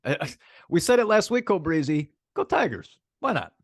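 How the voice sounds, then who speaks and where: A man co-hosting a sports podcast in casual banter, animated and repeating phrases for emphasis. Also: fairly high pitch, a little fast, no echo, studio quality.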